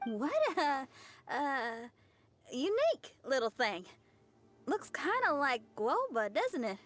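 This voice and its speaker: Game musician: teenage girl, high voice, friendly, informal, easygoing, faint music underneath. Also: sing-song, slow pace, a bit bright, exaggerated voice. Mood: puzzled. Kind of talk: video game voice acting.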